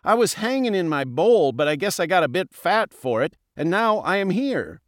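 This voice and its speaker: A man's voice: high voice, big pitch swings, normal speed, no room sound, studio quality, voice pushed up. A man voicing a plucky little fish in an audiobook, polite and earnest with a cheeky edge. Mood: earnest, friendly. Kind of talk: audiobook character voice.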